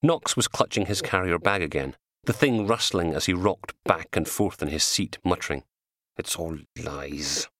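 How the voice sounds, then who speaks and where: A man reading his own crime novel as an audiobook, in an animated scripted narration. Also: low-pitched, moderate pace, no echo, clean studio sound.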